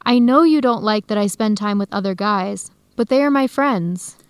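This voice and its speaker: A woman's voice: mid-range voice, moderate pace, smooth, dry recording, close to an everyday voice. A woman acting as a kind, conciliatory young girlfriend in a radio drama, speaking earnestly. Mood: earnest, pleading. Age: young adult. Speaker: actor in an educational radio drama.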